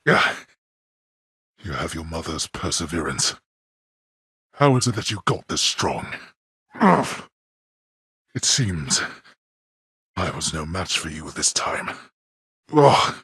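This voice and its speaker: A man playing a stern, older, sardonic god of the underworld in a video game, gruff and husky, speaking in formal, archaic, contemptuous phrases. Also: very deep voice, sing-song, slow, long pauses, sharp tone, no room sound, studio quality, exaggerated voice. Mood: weary, grudging. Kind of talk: video game character dialogue.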